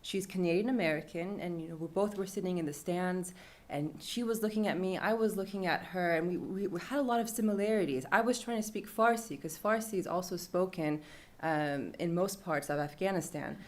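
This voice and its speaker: Young woman, low register, loose conversational storyteller addressing an audience. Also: brisk, a little mellow. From Iran. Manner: conversational, with fillers and laughs. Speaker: panel speaker. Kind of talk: storytelling talk at a panel event.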